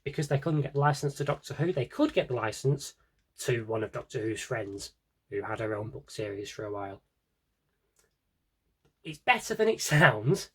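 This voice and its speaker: A man with a husky, slightly rough voice at a middling pitch talks like a casual vlogger. His delivery is unscripted and rambling, dotted with tongue-in-cheek asides. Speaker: vlogger showing an audio drama CD collection. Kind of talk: casual unscripted chat to camera.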